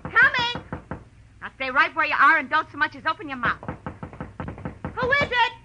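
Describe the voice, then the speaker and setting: A woman's voice: sits high, big pitch swings, moderate pace, background noise. A woman playing a bossy, impatient showgirl moll in a 1940s radio crime drama, snappy and commanding.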